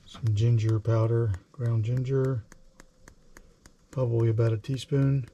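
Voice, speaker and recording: A man in his forties giving a casual, halting, step-by-step cooking demonstration in a small indoor space. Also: medium pitch, little pitch movement, muffled recording. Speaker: vlogger, home cook. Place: small boat galley, indoors. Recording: clear background noise, clicks.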